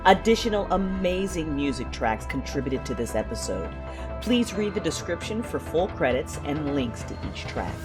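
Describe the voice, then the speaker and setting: A woman's voice: deep voice, big pitch swings, moderate pace, over loud music. A woman reading a scripted podcast outro and credits as an announcer.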